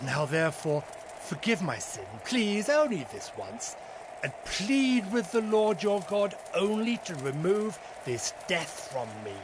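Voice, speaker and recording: A man's voice: sits high, sing-song, a little slow, background noise. A man playing a proud, grudging Egyptian king in dramatized scripture audio, with a theatrical, commanding delivery. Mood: pleading.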